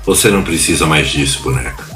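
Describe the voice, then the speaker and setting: A man's voice: a bass voice, fast talker, pushed and bright, faint music behind. A man playing a terse, cool cyberpunk hero thinking to himself in an audio drama. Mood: cool, dismissive.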